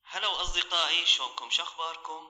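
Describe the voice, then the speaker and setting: Iraqi guy greeting through a voice changer, super bright and quick, echoey. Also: on the high side, distant mic. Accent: Iraqi Arabic. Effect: voice changer app playback. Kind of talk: short friendly greeting to viewers. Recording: echoing phone app playback.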